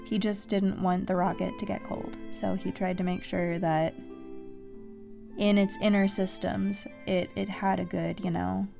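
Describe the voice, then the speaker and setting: A woman's voice: medium pitch, flat delivery, a little slow, creaky. A woman doing casual live game commentary as a streamer, thinking aloud and talking to herself with mild exclamations. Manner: self-talking, with mild exclamations and sing-song filler syllables. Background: music.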